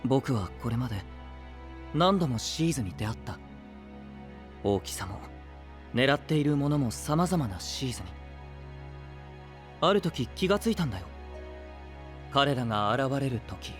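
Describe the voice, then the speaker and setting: An actor playing a gentle, idealistic young knight who leads an alliance, speaking softly and earnestly in anime audio drama style. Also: male voice, a little high. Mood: pensive. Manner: soft-spoken, earnest, measured.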